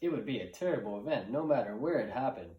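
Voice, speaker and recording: A man performs a dramatic script for a puppet show. His voice sits at a medium pitch, in a noticeably echoey room. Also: expressive, fast, highs cut off. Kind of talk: dramatic reading of a modernised play. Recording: roomy, echoing room sound.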